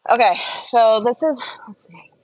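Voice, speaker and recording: A woman's voice: on the high side, measured pace, a little mellow, low-quality recording. A woman vlogging in a casual, chatty question-and-answer and review style, rambling and often interrupting herself as she gets distracted. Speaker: skincare and wellness vlogger.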